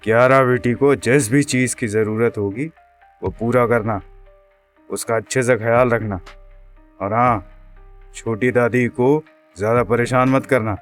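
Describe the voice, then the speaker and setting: A man's voice: mid-range voice, steady tone, measured pace, long pauses, soft background music, close to an everyday voice. Actor as a caring middle-aged Indian father. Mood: fatherly, caring. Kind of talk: audio story drama acting.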